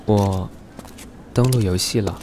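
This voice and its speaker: An actor playing a shy, loyal, protective young man in a radio drama, halting and terse. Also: on the low side, mellow, slight background noise. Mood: shy, earnest. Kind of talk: Chinese radio drama acting.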